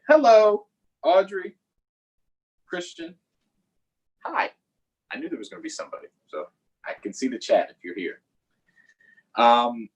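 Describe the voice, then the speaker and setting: A man's voice: mid-range voice, breathy edge, rough recording. A man hosting a children's story time, reading aloud in a playful, sing-song way with chatty asides to viewers.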